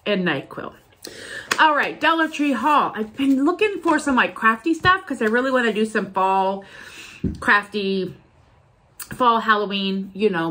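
A woman in her fifties with a slight cold, chatting casually through a shopping haul in her home office, speaking to a desk camera. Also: medium pitch. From Ohio, USA. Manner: chatty, rambling, lively greeting. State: has a cold, sniffling. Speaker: vlogger. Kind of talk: casual haul video chat. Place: home office with bookshelves, indoors. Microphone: camera at desk distance.

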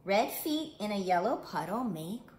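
Slow-paced woman hosting storytime in echoey room. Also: mid-range voice, big pitch swings, a little mellow. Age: middle-aged. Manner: simple, clear phrasing with questions to young listeners. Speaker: children's art studio teacher reading storytime. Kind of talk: picture book read-aloud for young children. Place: small indoor room. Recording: roomy indoor echo, clear background noise.

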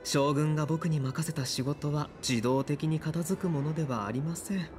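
A man's voice: fairly high pitch, a little mellow, lightly acted. Man voicing a polite, composed doctor over music. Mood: polite, reserved. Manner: courteous, measured, formal. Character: polite, composed adult male military doctor. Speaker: doctor character in a video game.

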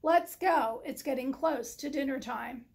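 Storybook Mister Moose type , played by a female reader: kindly, determined husband, polite but emphatic, high-pitched, unhurried. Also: vocal fry, darkish tone. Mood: polite, pleased.

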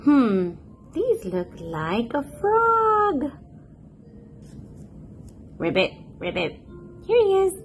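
A woman reading a picture book aloud to toddlers in a playful, expressive, sing-song manner. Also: high voice, drawn-out words, long pauses, a little hiss or hum. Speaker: children's picture book narrator, host.

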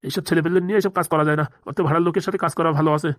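Woman doing a grumpy old boozer thug, lowish voice, talks super fast, dry sound. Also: fairly even intonation, no echo, natural voice. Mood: scolding. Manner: gruff, scolding. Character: grey-haired old male thug, hard-drinking, bossy. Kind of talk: cartoon voice acting.

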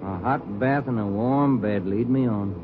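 A man's voice: fairly low pitch, measured pace, lightly acted. An American man acting as an easygoing, mildly grumbling detective partner in a 1950s radio police drama. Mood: casual, grumbling. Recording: tinny, very noisy old radio recording.

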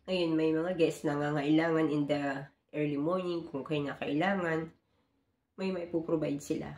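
A woman's voice: low voice, steady tone, unhurried, darkish tone, reverberant. A woman in her forties, a hotel housekeeper, talking earnestly to her phone camera from a small bedroom and explaining her work step by step. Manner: earnest, explanatory, mixing in English phrases. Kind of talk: casual vlog talk to camera. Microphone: phone camera at close range.

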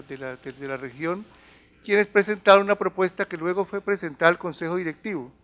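A Colombian man in his fifties speaks as an advisor presenting at a conference. His voice sits slightly higher than most men's. He explains point by point in a semi-formal register, often halting and repeating a phrase before he moves on. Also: a bit bright. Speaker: health organization advisor giving a talk. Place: large conference room. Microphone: handheld or table microphone.

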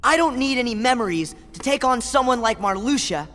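A man's voice: very high voice, lively intonation, fairly quick, a bit bright. An actor playing a brave, stubborn, loyal teenage boy hero in a video game, earnest and impassioned. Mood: confident. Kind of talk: video game cutscene acting. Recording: long hall reverb.

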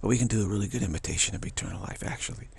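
Man, deep low voice, husky, rambling spiritual talk, repeated words, frequent chuckles. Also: racing, dry recording. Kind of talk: spiritual monologue.

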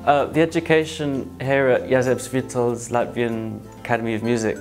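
A young man from England with an English accent, a music student answering in a relaxed, easygoing way. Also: medium pitch, normal speed. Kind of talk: casual interview answers.

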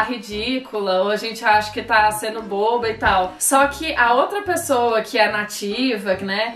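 Upbeat high-voiced Brazilian woman host. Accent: Brazilian Portuguese. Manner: animated and encouraging. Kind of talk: casual chat on a sofa. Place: living room. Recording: echoey room.

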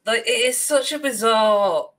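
A man in his twenties or thirties co-hosting a casual podcast over a video call, rambling and animated. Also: very high voice, a little slow. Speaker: podcast co-host. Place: small room at home, on a video call.

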